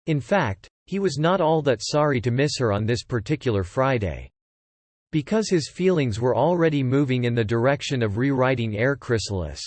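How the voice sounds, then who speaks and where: Animated male audiobook narrator. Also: mid-range voice, normal speed, dry recording.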